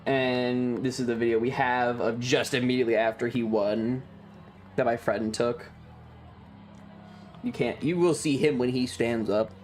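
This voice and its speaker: A man streamer talking casually and rambling through his material, halting often with stretched pauses. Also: medium pitch, fairly even intonation, a little mellow. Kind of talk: casual livestream chat over trip photos and videos.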